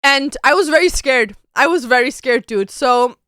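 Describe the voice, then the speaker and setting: Bright-voiced chatty young woman streamer. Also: high-pitched, normal speed, no echo, studio quality. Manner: chatty, loose, peppered with "like" and "dude". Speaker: streamer and tattoo artist. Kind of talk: casual chat answering viewer questions.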